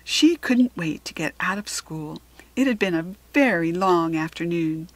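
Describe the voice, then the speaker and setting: A woman's voice: on the low side, lively intonation, a little slow, dry recording. American woman reading a children's story. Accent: standard American. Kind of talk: children's chapter book read-aloud.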